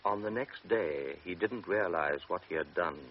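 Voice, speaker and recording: Brief: male narrator, very low voice, grave measured delivery, dull hissy old broadcast. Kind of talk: scripted radio drama narration. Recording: dull old radio recording with hiss.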